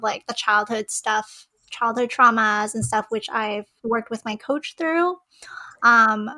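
A woman with a soft, girlish voice, speaking as a podcast guest in casual chat, hesitant with many fillers and restarts and frequent giggles. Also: fairly high pitch. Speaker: podcast guest, web designer.

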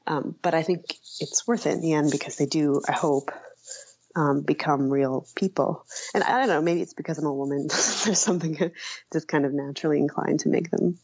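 A woman giving conversational interview answers as an author, thoughtful and halting, often rephrasing mid-sentence. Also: sits low, steady tone, creaky, a little mellow, breathy edge, dry recording, muffled recording.

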